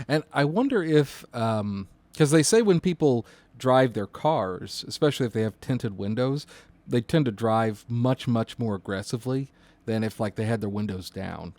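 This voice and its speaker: A man with a mid-range voice and highly animated intonation chats as a podcast co-host. He talks informally, like a dispatcher among colleagues, halting and rephrasing as he thinks aloud. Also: dry recording. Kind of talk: casual podcast conversation.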